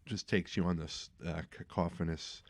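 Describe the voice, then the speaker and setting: Deep-voiced middle-aged man hosting a podcast. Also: moderate pace, no room sound, studio quality. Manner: relaxed, lots of uh fillers. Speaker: podcast host. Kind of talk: casual podcast interview.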